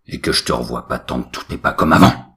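Man voicing a stern, very deep almighty father God. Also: fairly bright tone, full character voice. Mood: angry. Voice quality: deep, booming, firm. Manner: commanding, slow roaring delivery. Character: God, all-powerful stern father, easily angered.

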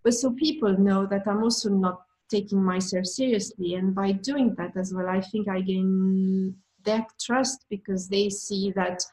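A woman's voice: medium pitch, fairly even intonation, a little slow, darkish tone, poor audio. French lady manager being interviewed, lots of restarts and fillers, medium voice. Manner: thoughtful, frequent restarts and fillers. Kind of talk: conversational interview answers.

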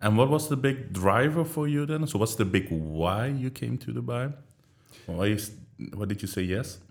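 Lively man hosting a podcast. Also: medium pitch, normal speed, vocal fry. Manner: lively questioning with many fillers. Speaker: podcast host. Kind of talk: casual podcast interview.

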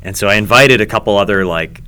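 Deep-voiced man rambling through stories. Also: sharp tone, clear, resonant tone. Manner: rambling, halting with many ums and restarts. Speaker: comedy show host. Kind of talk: casual podcast chat.

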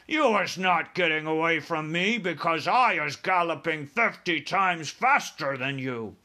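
A man's voice: on the high side, lively intonation, sharp tone, exaggerated voice. A man voicing a huge man-eating giant from a children's storybook, bullying and bellowing in broken grammar. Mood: gloating menace. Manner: bellowing, ungrammatical drawn-out speech.

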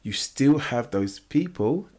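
A man born in England, co-hosting a casual discussion, talking haltingly with many fillers and restarts. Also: medium pitch, expressive, darkish tone. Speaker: podcast co-host.

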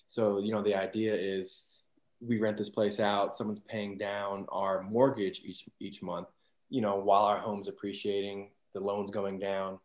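A man's voice: fairly low pitch, little pitch movement, measured pace, a little mellow, reverberant. A man in his twenties, a guest on a podcast, talking about money in an easygoing way, with loose restarting phrases. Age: 20s. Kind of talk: casual chat.

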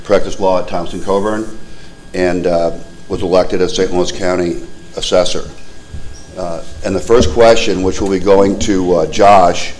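A man with a very low voice moderates a forum and reads out candidate bios in a plain, halting manner, pausing on frequent uhs. Faint background noise sits under his speech. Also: darkish tone, low-quality recording. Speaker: debate moderator. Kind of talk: reading candidate introductions.

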